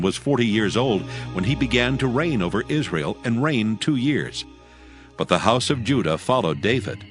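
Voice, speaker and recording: A man narrating a dramatized scripture audiobook with solemn, measured phrasing. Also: mid-range voice, a bit bright.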